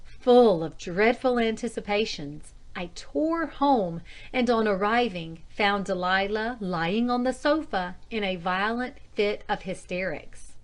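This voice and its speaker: A woman's voice: mid-range voice, expressive, measured pace. A woman reading scripted narration, telling a ghost story written as a diary. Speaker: audiobook narrator.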